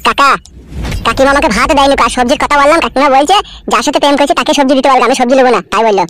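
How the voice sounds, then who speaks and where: Man doing a squeaky, breakneck, whiny cartoon village lad. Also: racing, fairly bright tone, slight background noise. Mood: sulky. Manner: pleading, insistent, complaining. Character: whiny, tattling, foul-mouthed young village lad. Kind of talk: comedy cartoon dialogue.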